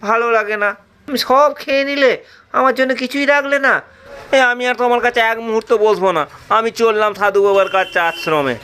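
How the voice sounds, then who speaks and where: A man's voice: sits high, sharp tone, clean tone, voice pushed up. A man voicing a hungry, hot-tempered young male disciple who complains in a whiny, indignant way. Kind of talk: storytelling voice acting.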